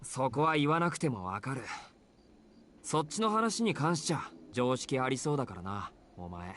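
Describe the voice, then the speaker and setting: An exasperated but considerate teenage boy in a Japanese visual novel. He speaks bluntly at a very rapid clip, with lively swings in pitch, over slight background noise. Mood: incredulous.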